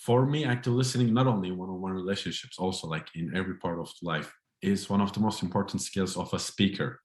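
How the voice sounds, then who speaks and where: A low-voiced man runs a training session over a video call. He explains in a friendly way, his voice echoing in a roomy space. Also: fast talker. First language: Turkish. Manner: friendly, explanatory, light laughter. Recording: roomy echo.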